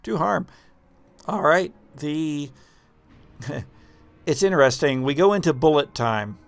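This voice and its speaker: A man improvising narration as game master of a tabletop game, telling it haltingly and dramatically with drawn-out pauses. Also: a little high, lively intonation, gravelly. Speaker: game master of a tabletop role-playing show.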